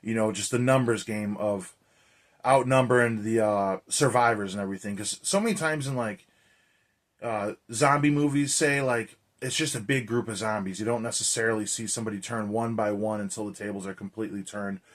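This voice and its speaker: A man with a hoarse, raspy voice chatting energetically as a horror movie vlogger, loose and full of fillers. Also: lowish voice, fairly quick. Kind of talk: casual vlog chat.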